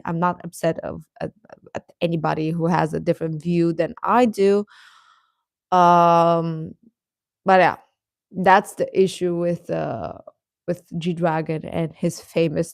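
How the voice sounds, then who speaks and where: A woman hosting a casual livestream, chatting and commenting in a hesitant, halting way. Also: lowish voice, gravelly, a little mellow, dry recording, clean studio sound. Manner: hesitant, halting, chuckles often while talking. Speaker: livestream host reading viewer comments.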